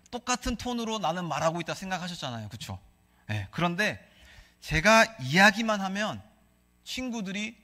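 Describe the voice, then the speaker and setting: A man's voice: high-pitched, sharp tone. A male pastor with a Gyeongsang accent preaching a sermon, asking the congregation questions and leading them in reading scripture aloud. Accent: Gyeongsang Korean. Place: church sanctuary. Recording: long reverb of a church hall.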